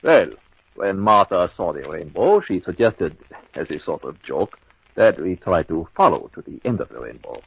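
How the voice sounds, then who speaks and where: An actor playing a cold-blooded murderous husband in an old-time radio mystery, speaking in a formal, measured, theatrical way. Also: male voice, deep voice, big pitch swings, gravelly, husky, no room sound, full character voice. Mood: feigned composure. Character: smooth, cold-blooded husband plotting his wife's murder. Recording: dull lo-fi old radio recording.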